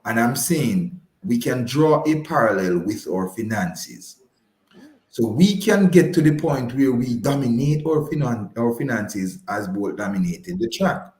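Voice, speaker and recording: Man, Jamaican accent, mid-pitched, emphatic explanatory guest, repeats for stress, echoey roomy sound. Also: lively intonation, a little slow, a little mellow. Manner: emphatic, repetitive, didactic, checking with "right?". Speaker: guest explaining personal finance. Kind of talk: conversational explanatory radio talk.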